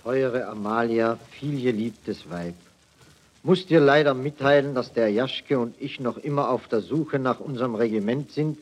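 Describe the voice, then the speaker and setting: A man's voice: medium pitch, unhurried, natural voice. An actor playing a hapless, naive army private telling his woes in a folksy way on an archival 1940s satirical radio broadcast. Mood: weary, earnest. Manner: folksy, mock-naive storytelling.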